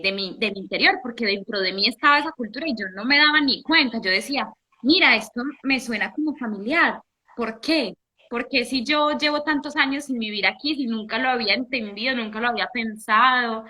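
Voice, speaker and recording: A young woman from Italy, a guest in a casual interview, telling her story in an animated way with many restarts. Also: fairly high pitch. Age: young adult.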